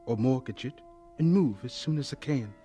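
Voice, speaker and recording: An actor playing a gentle, earnest young homesteader with dreams, speaking reflectively in a folksy radio drama manner. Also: male voice, mid-range voice, moderate pace, soft background music, natural voice. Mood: wistful, earnest.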